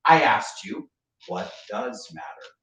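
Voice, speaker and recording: A man's voice: medium pitch, sing-song, slow pace, fairly bright tone. An actor in a staged reading playing a cantankerous, sardonic older drinker who needles his companion theatrically. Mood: exasperated, mocking. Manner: theatrical, needling, foul-mouthed. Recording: roomy, distant, noisy online reading.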